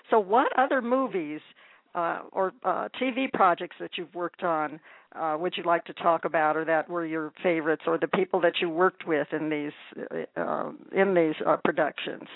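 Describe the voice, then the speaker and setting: High-voiced male radio host. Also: dry recording. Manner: halting, many restarts and fillers. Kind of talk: radio interview. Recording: tinny phone-line sound.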